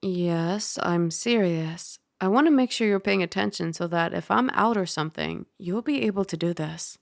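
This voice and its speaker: A woman playing a caring, teasing dragon girl roommate in an ASMR roleplay, soft, chatty and gently instructive. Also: on the low side, normal speed, creaky, dry recording. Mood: patient coaching.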